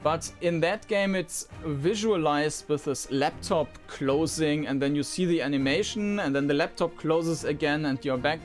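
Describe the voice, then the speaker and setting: A man's voice: a little high, moderate pace, hardly any pauses. A man giving unscripted commentary on a game, thinking aloud in a hesitant way. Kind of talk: unscripted preview commentary over gameplay. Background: game music.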